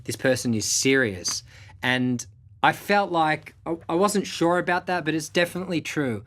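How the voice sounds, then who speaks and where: A man's voice: on the high side, moderate pace, fairly bright tone, slight background noise. A young man who hosts his own show as a music producer, giving candid motivational advice to camera in a laid-back way, with casual swearing and lots of fillers.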